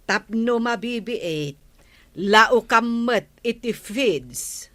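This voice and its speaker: An actress playing an inquisitive, chatty village neighbour in an Ilocano radio comedy drama, with an Ilocano accent. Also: female voice, fairly high pitch, expressive, very slow, fairly bright tone, heavily acted.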